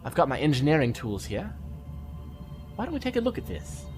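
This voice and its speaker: An actor playing a sly male Starfleet lieutenant engineer, with a smooth, insinuating tone. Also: fairly high pitch, huge pitch swings, a little fast. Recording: music score behind.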